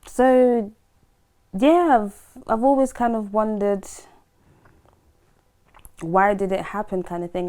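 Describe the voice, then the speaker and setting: A woman's voice: lowish voice, mellow, clean tone. A woman in her twenties, born in Trinidad and Tobago, chatting as a guest, joking loosely and laughing between words. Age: 20s. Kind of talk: casual chat.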